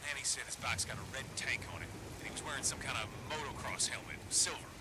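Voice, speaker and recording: A man playing an irate, vengeful survivor camp boss in a video game, folksy and forceful. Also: mid-range voice, fast talker, noisy background, natural voice. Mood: exasperated.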